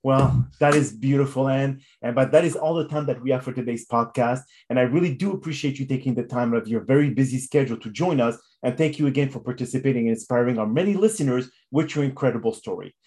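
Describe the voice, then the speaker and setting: A man's voice: mid-range voice, fast talker, few pauses, darkish tone. A man hosting an interview podcast in a formal, effusive and motivational manner. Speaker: podcast host.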